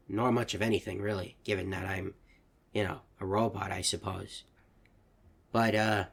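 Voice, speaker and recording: A mild-mannered male robot, played by a man, with a lowish, gravelly voice. He talks hesitantly and stammers through his thoughts, polite to a fault. A fan-game character. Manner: hesitant, stammering, soft-spoken.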